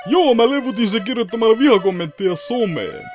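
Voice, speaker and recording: Man as teenage anime hero, smug, shameless, casual delivery, animated pitch, background music. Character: cocky, shameless teenage boy swordsman. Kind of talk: anime parody fan dub.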